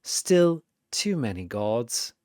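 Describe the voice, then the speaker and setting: A man voicing a sardonic vampire rogue in a hushed, suave murmur for an audio fantasy story. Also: medium pitch, very animated, drawn-out words, clean tone, no echo, studio quality. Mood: dry.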